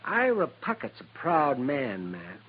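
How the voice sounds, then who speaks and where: Husky, swooping, crusty frontier doctor. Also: male voice, medium pitch, a little slow, exaggerated voice. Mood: matter-of-fact. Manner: folksy, animated, muttering asides. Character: crusty, folksy frontier town doctor, male. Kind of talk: 1950s radio western acting. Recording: dull old 1950s radio recording.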